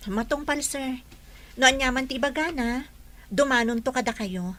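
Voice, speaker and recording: A woman's voice: high voice, moderate pace, fairly bright tone. A woman with an Ilocano accent playing a deferential but wary young housemaid in a radio drama. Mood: wary, puzzled.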